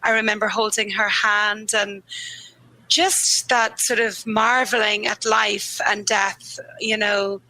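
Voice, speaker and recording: A woman grief therapist speaking earnestly and candidly in a podcast interview, a little croaky, slowing down on the points that matter to her. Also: medium pitch, flat delivery, pushed and bright, low-quality recording. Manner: earnest, candid, laughs easily, slows on key phrases. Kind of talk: reflective conversational interview.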